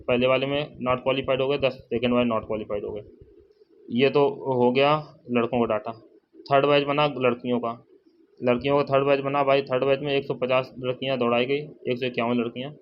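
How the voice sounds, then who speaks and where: A man giving a casual, informal update to viewers, repeating points and addressing them familiarly as brother. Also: medium pitch. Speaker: exam-update YouTuber and channel host. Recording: dull lo-fi sound, faint noise, birds.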